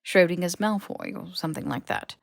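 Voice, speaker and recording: A woman reading fiction aloud as an audiobook narrator, in an expressive scripted storytelling style. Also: on the low side, fairly quick, creaky, dry recording, clean studio sound. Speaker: podfic narrator.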